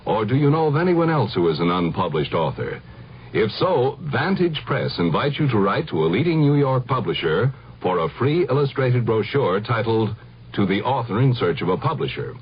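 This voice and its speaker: A man's voice: medium pitch, very animated, moderate pace, slightly rough. An American man reading a scripted radio advertisement as an announcer. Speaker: commercial announcer. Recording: muffled vintage radio broadcast.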